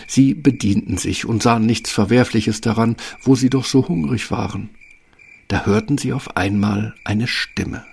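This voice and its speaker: A man's voice: mid-range voice, measured pace, vocal fry, a little mellow, slight background noise. A man narrating a scripted fairy tale for an audio play.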